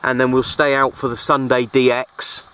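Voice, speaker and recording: A man with a British accent chatting casually and informally to viewers in an unhurried, easygoing way, like an outdoor hobby vlogger. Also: little pitch movement, a bit bright, clean tone. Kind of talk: casual outdoor vlog chat. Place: outdoors at a windy makeshift shelter. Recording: noisy outdoor recording with ambient rumble.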